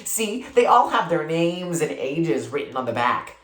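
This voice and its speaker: A reader as a shy, earnest, animated young girl. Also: mid-range voice, measured pace. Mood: defensive, earnest. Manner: hesitant, earnest, explaining. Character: shy, imaginative sixth-grade girl. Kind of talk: children's storybook character read aloud. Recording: roomy, echoing sound.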